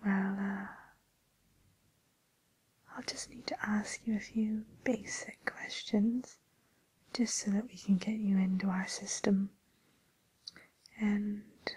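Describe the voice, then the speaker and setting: A woman playing a polite, attentive receptionist at a fairy-tale dating agency in a soft, breathy, hesitant whisper, as an ASMR roleplay. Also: medium pitch, poor audio.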